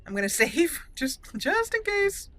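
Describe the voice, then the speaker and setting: A woman's voice: high-pitched, sing-song, measured pace, fairly bright tone. A woman hosting a roleplay let's play, chatty and moving between commentary and in-character lines. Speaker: gaming host.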